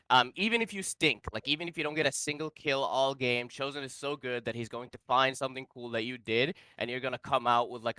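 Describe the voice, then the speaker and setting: A young man, a team captain, pitches his team in a casual, mock-formal, deadpan way, with lots of ums and uhs. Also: clean tone, dry recording.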